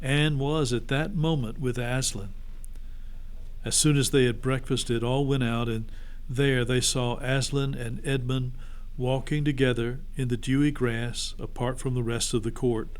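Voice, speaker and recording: A man's voice: mid-range voice, steady tone, measured pace, clear, resonant tone, rough recording. A man reading a children's storybook aloud as a narrator.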